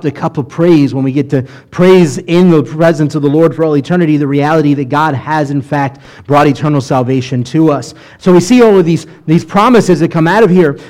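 A man's voice: on the high side, a little mellow. A man, a rabbi, teaching scripture in a conversational sermon style, reading passages aloud between explanations.

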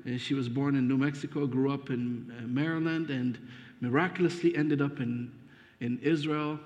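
A man's voice: medium pitch, fairly even intonation, moderate pace, a little mellow. A man from Ethiopia, a guest preacher, introducing himself and his family to a congregation in a friendly, hesitant way with fillers. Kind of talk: sermon introduction. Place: church sanctuary. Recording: dull muffled sound with long reverb.

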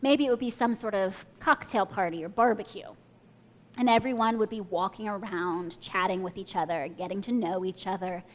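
A woman's voice: medium pitch, normal speed, poor audio. A young woman preaching a sermon, telling a personal story and inviting listeners to imagine along with her. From Kenya and the US.

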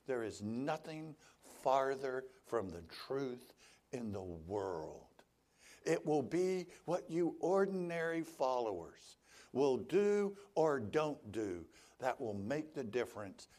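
A man's voice: medium pitch, a little mellow. A male pastor preaching a sermon, telling stories and voicing the people he quotes.

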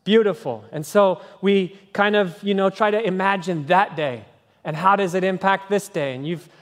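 High-voiced preacher in a reverberant hall. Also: male voice, normal speed. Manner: animated preaching, tag questions and sung snippets. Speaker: pastor. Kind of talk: sermon. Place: church sanctuary.

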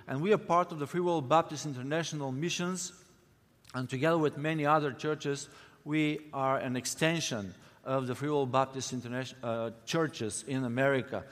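A man from Bulgaria with a Bulgarian accent, a missionary giving a friendly, halting church talk with many fillers. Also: on the high side, fairly bright tone. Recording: long hall reverb.

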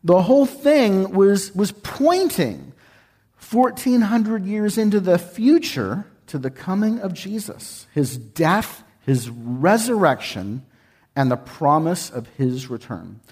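A man's voice: sits high, big pitch swings, slow pace, darkish tone, slightly rough. A man from Texas, a guest speaker giving a folksy, joking sermon in a church. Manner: folksy, joking, sings with congregation. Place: church sanctuary.

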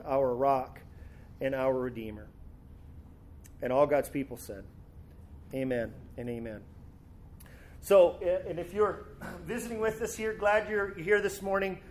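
A man preaching a sermon and reading scripture, talking easily with some fillers, a little hoarse from a cold. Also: a little high, a little hiss or hum. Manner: relaxed, conversational, with fillers and restarts. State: sore throat and a cold. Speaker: pastor. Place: church sanctuary.

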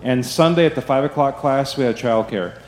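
A man's voice: mid-range voice, brisk, darkish tone. A man giving church announcements to the congregation in a chatty way, often asking "right?". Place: church sanctuary. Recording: echoey hall, faint ambience.

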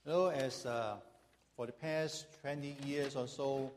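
A man's voice: medium pitch, fairly even intonation, measured pace. A middle-aged male pastor from Hong Kong preaching in a church, reading scripture and then telling a humorous personal story with frequent fillers.

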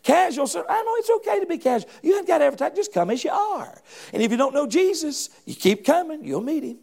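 A man's voice: very high voice, big pitch swings, fast talker, vocal fry, a little mellow. A middle-aged man preaching a sermon in a fervent, folksy way, often restarting phrases and calling out "come on.".

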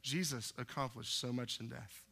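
A man preaching a sermon to a congregation in a slightly crackly, hoarse voice, conversational yet emphatic, often putting questions to his listeners. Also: medium pitch, fairly even intonation, normal speed, sharp tone.

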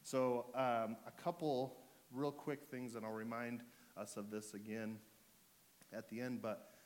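A man with a sore throat and a fading voice, preaching to his church in a relaxed way, full of ums and restarts. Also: mid-range voice, unhurried. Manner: joking, relaxed, with fillers and restarts. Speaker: pastor. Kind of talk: sermon.

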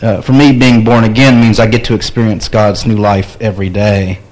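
A man's voice: fairly low pitch, level tone, moderate pace, darkish tone, low-quality recording. A man in a church discussion group giving an earnest, reflective answer.

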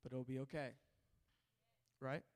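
A hoarse man preaching to a camp crowd in a large hall, calling for responses from the audience. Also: mid-range voice, flat delivery, measured pace, a little mellow. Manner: call-and-response, coaxing the crowd. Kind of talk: sermon to a youth camp crowd. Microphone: handheld stage mic.

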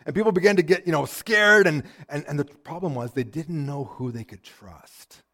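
A male pastor with a somewhat high voice preaches in an animated, storytelling way. He stumbles over and repeats words as he starts sentences. He swings between loud, energetic passages and quiet, hushed emphasis. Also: moderate pace. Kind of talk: sermon.

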